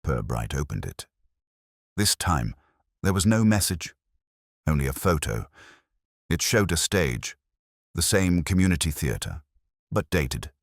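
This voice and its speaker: A man reading a mystery story as a scripted narrator, with a husky voice. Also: very deep voice, big pitch swings, a little slow, pauses often, dry recording, studio quality.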